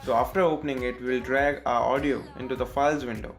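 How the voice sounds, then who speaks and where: A man with an Indian accent narrating a step-by-step software tutorial as its host, in a scripted style. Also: mid-range voice, steady tone, fast, soft background music.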